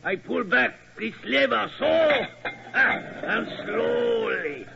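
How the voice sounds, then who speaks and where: An actor playing a sinister, mad museum curator, speaking in slow, oddly ordered phrases, in 1940s radio acting. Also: male voice, very high voice, animated, vocal fry, background noise, heavily acted. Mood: gloating madness. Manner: slow, halting foreign word order, cackling menace. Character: mad old German museum curator, sinister host turned gloating villain. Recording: dull old radio broadcast.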